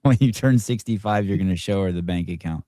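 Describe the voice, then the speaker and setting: A man's voice: low voice, brisk, warm tone, dry recording, clean studio sound. A middle-aged man hosting a live interview, rambling and self-deprecating with many restarts. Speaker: podcast host.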